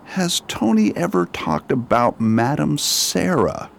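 A curious, probing friend, acted by a man in a radio mystery. His mid voice swings in lively fashion, with faint noise underneath. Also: mid-range voice, slow pace, creaky, heavily acted. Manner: welcoming, curious, musing. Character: hearty, inquisitive old friend, probing gently. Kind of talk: radio drama acting.

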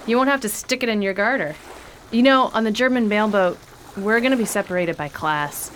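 A woman's voice: mid-range voice, fairly quick, fairly bright tone, slight background noise. Brash, slangy woman in a radio play. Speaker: rough-spoken fellow passenger, Nora. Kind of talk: radio drama acting.

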